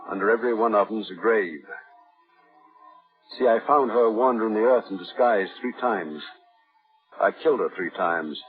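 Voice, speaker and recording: An actor as a low, menacing husband, tinny old radio. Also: male voice, normal speed, long pauses, faint music behind, natural voice. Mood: eerily matter-of-fact. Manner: folksy, matter-of-fact, controlling. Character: menacing, domineering husband, quietly deranged killer. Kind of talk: 1950s radio thriller acting.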